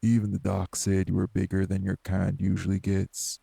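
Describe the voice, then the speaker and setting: A man playing a morose older wraith in an audio drama, blunt, folksy and scolding, with a husky, gravelly voice. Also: monotone, normal speed, dry recording, full character voice. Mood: gruff, challenging. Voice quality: very gravelly, husky, dark low voice. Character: morose older male wraith, rural homebody, stern but hospitable. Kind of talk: dramatic audio roleplay acting.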